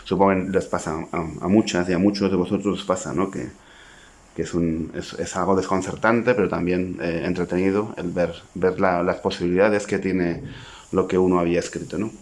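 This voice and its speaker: A man in his forties from Spain with a very low, deep voice and a Spanish accent. He speaks as an author talking about his own book, reflective and modest, thinking aloud as he goes. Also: fairly even intonation, normal speed, a little mellow. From Spain (Zaragoza). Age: 40s. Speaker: poet and author. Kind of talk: informal talk to camera about his book.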